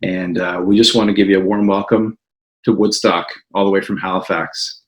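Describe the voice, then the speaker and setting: A man's voice: sits low, level tone, moderate pace, low-quality recording. A man interviewing guests in a welcoming, upbeat way.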